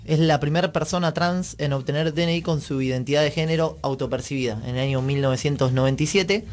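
A man's voice: on the high side, fast talker. A man with an Argentine accent hosting a radio show in casual, chatty style. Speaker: radio host. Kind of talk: casual chat.

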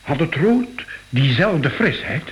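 A man's voice: a little high, sing-song, slow. An actor playing an anxious, devoted male museum curator in a radio play, emotive and exclamatory. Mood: suspicious.

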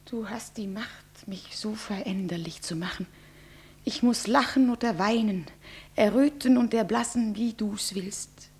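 A woman playing a fearful, devoted young bride, speaking verse in a breathy, often whispered voice. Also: medium pitch, darkish tone.

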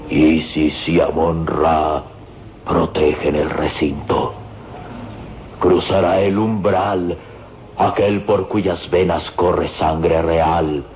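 A man's voice: very deep voice, a little hiss or hum. An actor playing a solemn, devoted Egyptian man in an old radio serial, speaking reverently and declaiming in style. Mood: solemn incantation. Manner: slow, declamatory, reverent delivery. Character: solemn, devoted Egyptian man in love, loyal to his people. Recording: dull old radio recording with music. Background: prominent dramatic music.

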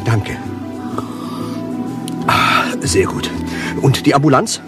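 Excitable self-absorbed bar patron, satirical radio drama, very lively intonation, music behind. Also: male voice, mid-range voice, takes it slowly. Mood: fussy, self-satisfied. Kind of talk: satirical radio play acting.

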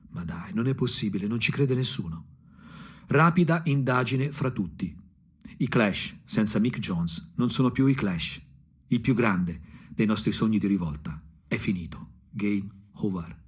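A man delivering an ironic, theatrical radio monologue in short, punchy phrases, with a husky voice. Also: lowish voice. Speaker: storyteller, actor. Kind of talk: dramatic first-person radio monologue.

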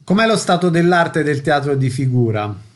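A man's voice: a little high, big pitch swings, normal speed. A man presenting and asking questions as a radio interviewer.

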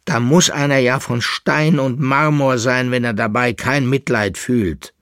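A man's voice: fairly low pitch, expressive, a little slow, slightly rough, no echo, studio quality. A man voicing a distraught fugitive in an audiobook story, wailing and agitated, full of exclamations and pleading questions. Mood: angry, reproachful.

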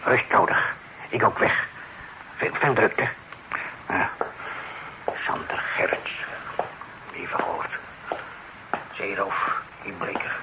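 Casting: officious village doctor, speaks in terse fragments, noisy vintage radio recording. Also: male voice, sits low, slow pace, gravelly, long pauses, bright, forceful tone, full character voice. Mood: brisk. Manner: clipped telegraphic fragments without full sentences. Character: odd, officious male island doctor and deputy bailiff. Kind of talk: old radio drama acting.

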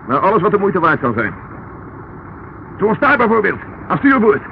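An actor playing a composed, shrewd police inspector in a Dutch radio play, brisk and authoritative with dry irony. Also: male voice, sits high, sing-song, vocal fry, heavily acted. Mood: alert, commanding. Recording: muffled, noisy old radio play recording.

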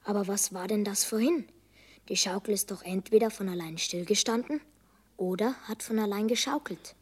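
A performer playing a curious but nervous child sidekick in a children's radio play, hesitant, with a husky, breathy voice. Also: mid-range voice, moderate pace, darkish tone, close to an everyday voice. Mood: nervous, hushed.

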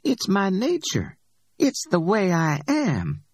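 A man's voice: sits high, huge pitch swings, slow pace, husky, no echo, voice pushed up, full character voice. A man doing a streetwise older woman, folksy drawl, blunt, dry close sound. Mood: storytelling.

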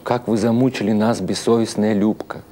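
Guy doing a cranky old man in an audiobook, scolding and exclaiming, pitched up from his normal voice. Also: fairly even intonation, normal speed, warm tone, a little hiss or hum, close to an everyday voice. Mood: reproachful scolding. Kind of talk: audiobook character acting.

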